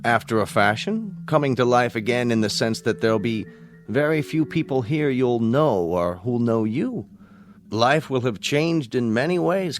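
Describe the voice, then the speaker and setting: An American actor playing a grave, candid older space scientist in a radio drama, with a formal, precise and deliberate delivery. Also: male voice, mid-range voice, natural voice. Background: faint music.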